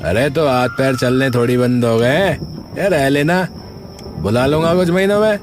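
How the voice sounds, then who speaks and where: A man playing an impatient, callous young village son in an animated story, brusque and dismissive. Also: medium pitch, normal speed, close to an everyday voice. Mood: irritated, dismissive. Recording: music under the voice.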